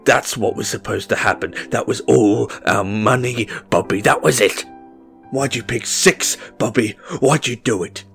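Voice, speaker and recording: A man voicing a desperate, volatile gambler father who pleads and then shouts at his young son. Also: on the low side, fairly even intonation, moderate pace, soft background music. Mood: raging.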